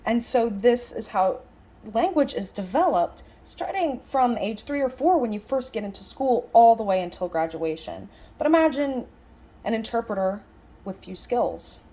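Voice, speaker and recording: A woman with a slightly high voice interprets a lecture aloud. She talks conversationally, breaking her thoughts into short, halting phrases and leaning on the key words. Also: animated, mellow. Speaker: sign language interpreter voicing a signed lecture.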